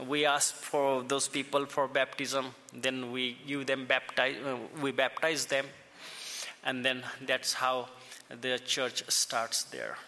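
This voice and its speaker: A man from India with an Indian accent, preaching slowly and haltingly, with many fillers and restarts as he speaks. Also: mid-range voice, pushed and bright. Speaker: pastor. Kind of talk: sermon. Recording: echoey hall, faint ambience.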